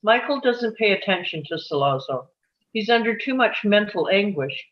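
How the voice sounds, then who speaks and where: A woman's voice: mid-range voice, expressive, moderate pace, muffled recording. A woman reading screenplay stage directions aloud as scripted narration at a table read.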